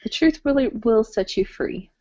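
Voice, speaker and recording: A woman co-hosting a casual chat show about a TV drama, speaking in an animated, exclaiming, teasing way. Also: on the high side, normal speed. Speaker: podcast co-host. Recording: dull lo-fi audio.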